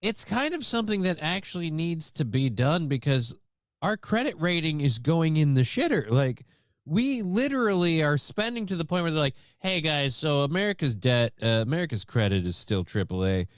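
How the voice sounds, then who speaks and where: A man's voice: on the high side, big pitch swings, moderate pace, no room sound. Laid-back male co-host, dry sound. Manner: laid-back, chimes in with short agreements and jokes. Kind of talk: casual talk show banter.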